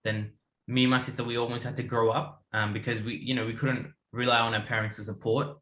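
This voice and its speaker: A man's voice: mid-range voice, little pitch movement, a little fast, a bit bright, reverberant. A man in his twenties from India, telling his story in a rambling casual way with many restarts. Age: 20s. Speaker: guest. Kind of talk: casual panel chat.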